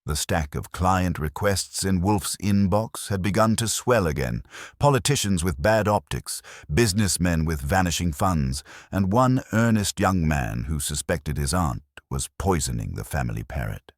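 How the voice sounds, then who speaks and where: A man narrating a scripted first-person detective story in a wry, measured storytelling manner. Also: low voice, animated, no echo, studio quality. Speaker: audiobook narrator.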